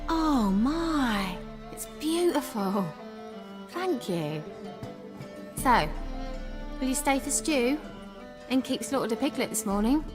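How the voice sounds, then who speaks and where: An actress with a British accent playing a feisty, friendly young tavern waitress in a fantasy game, with a playful, teasing manner. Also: female voice, high-pitched, lively intonation, slow, pauses often, music in the background.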